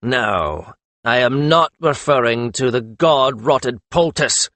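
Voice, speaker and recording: Furious male magus, acted by a man, imperious, bullying, snarling, drawn-out emphatic delivery, fantasy audiobook. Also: on the low side, no echo. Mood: enraged. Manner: shouting, snarling. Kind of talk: audiobook character voice.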